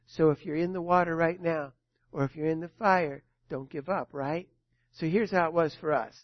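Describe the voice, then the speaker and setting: A man in his sixties preaching as a pastor, telling stories with dramatic swells and hushed drops. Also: on the high side, fairly even intonation, normal speed, no room sound. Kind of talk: sermon. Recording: dull, dry sound.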